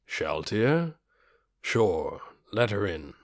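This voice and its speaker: Guy doing a skeleton overlord for an audiobook, deep quiet mutter, weary but measured, very dry recording. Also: sing-song, dry recording, full character voice. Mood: calm command. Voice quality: low, hushed. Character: skeletal undead lord, weary, privately unsure ruler. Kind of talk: audiobook character voice.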